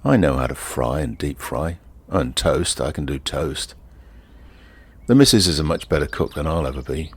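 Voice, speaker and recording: Very deep-voiced wry everyman, played by an actor. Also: lively intonation, moderate pace, breathy edge, no room sound, exaggerated voice. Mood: wry, amused. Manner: wry, confiding, leisurely. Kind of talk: first-person dramatic monologue. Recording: outdoor ambience with birdsong behind. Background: birdsong and outdoor ambience.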